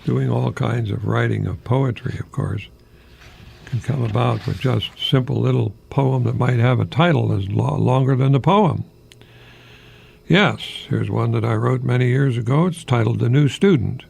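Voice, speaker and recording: An older man hosting a radio monologue, speaking haltingly in a whimsical, self-deprecating way with a husky, gravelly voice. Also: medium pitch, darkish tone, a little hiss or hum. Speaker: radio host, retired professor. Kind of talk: rambling humorous radio monologue with poetry readings.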